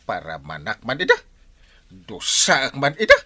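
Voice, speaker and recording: A man with an Ilocano accent acting an excitable, puzzled village husband in a comedy drama. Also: medium pitch, a little slow, soft background music, heavily acted. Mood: worried, vengeful.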